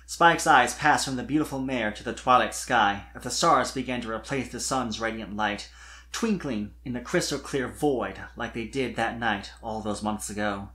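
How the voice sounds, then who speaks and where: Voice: mid-range voice, moderate pace, a bit bright. A narrator reading fan fiction expressively, in a scripted storytelling style.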